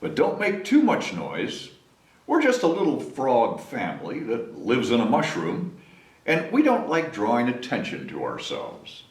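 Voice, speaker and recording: A man's voice: mid-range voice, wildly swooping pitch, a little slow. A man voicing a fretful storybook father frog in a pleading, exclaiming manner. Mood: fretful, coaxing. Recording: roomy echo, distant mic, faint noise.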